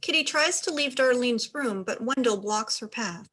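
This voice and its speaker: A woman's voice: a little high, lively intonation, moderate pace, a bit bright. A woman reading stage directions as scripted narration for a play reading.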